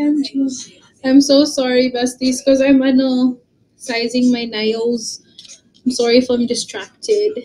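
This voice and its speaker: A young woman with a Filipino accent chats on a phone live stream, greeting viewers by name. Her voice sits high, and she speaks at an unhurried, easygoing pace. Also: dark tone, low-quality recording. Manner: chatty, greets viewers, mixes English with Tagalog. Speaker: livestreamer. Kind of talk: casual live-stream chat. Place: her bedroom, indoors.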